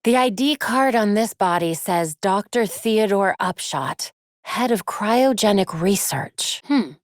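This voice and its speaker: A young American masked heroine for a video game. She is quippy, determined and caring, with a lively, wide-ranging pitch. The recording is dry and close, with no room around it. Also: takes it slowly, no room sound. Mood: focused, investigative. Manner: wry, quippy, expressive. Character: young female masked vigilante hacker, wry, determined, caring.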